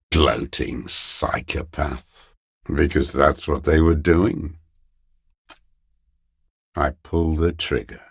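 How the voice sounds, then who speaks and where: Casting: a bitter old sniper in hiding, video game; creaky, gravelly, scornful, very dry close sound. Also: male voice, very deep voice, no room sound, exaggerated voice. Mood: venomous. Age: older. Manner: slow, contemptuous, bitter invective. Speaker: video game character actor. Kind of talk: dramatic video game voice acting.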